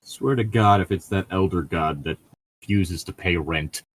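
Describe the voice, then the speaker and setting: A man's voice: deep voice, normal speed. A man co-hosting a casual fan fiction reading, riffing in a profane, sardonic way. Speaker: podcast co-host reading fan fiction aloud.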